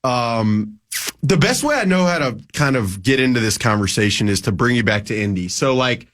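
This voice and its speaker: A man's voice: mid-range voice, lively intonation, moderate pace, a bit bright. A man in his twenties talking casually as a sports reporter guest on a radio show, rambling with lots of fillers and restarts. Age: 20s.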